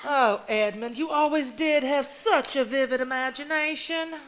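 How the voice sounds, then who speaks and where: A woman's voice: sits high, moderate pace, fairly bright tone, a little hiss or hum. A woman playing a prim, reproving Southern sister in a stage drama, genteel and needling. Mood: poised. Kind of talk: live stage drama acting.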